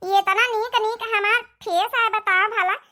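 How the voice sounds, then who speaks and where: Casting: cartoon young daughter-in-law played by a woman, Awadhi accent, extremely high and piercing, sulky, vain, quick to argue. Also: fairly even intonation, pushed and bright. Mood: indignant, defiant. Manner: argumentative, whining, self-admiring. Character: sulky young village daughter-in-law obsessed with making reels. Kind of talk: comedy cartoon dialogue.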